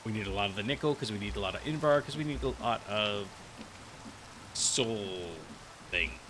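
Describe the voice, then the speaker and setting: A man's voice: fairly low pitch, lively intonation, normal speed, a bit bright, a little hiss or hum. A man giving casual, rambling commentary while playing a video game, thinking aloud with many restarts. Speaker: gaming video creator. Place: cold room with a heater.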